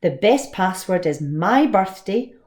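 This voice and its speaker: A woman leads a read-along lesson for young children. Her delivery is slow and very animated in pitch. She explains things simply and expressively, as a teacher would. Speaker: storyteller and teacher reading to children.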